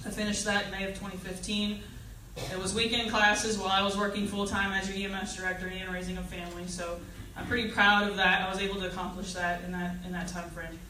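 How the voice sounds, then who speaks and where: A middle-aged woman introduces herself as a candidate at a public forum, speaking in a halting, personable way. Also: mid-range voice, level tone, pushed and bright. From Fayette County, Texas, United States. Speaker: political candidate. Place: public meeting hall, indoors. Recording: distant echoey room, faint noise.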